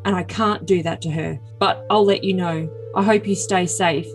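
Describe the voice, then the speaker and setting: A woman performs a needy young man full of his own importance. The voice sits on the low side, earnest and overdramatic in a comic reading of texts, with faint music underneath. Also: fairly even intonation, normal speed, lightly acted. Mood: wounded, earnest. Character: needy, brooding young man, self-important and possessive lover. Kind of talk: overly dramatic comedic reading of text messages.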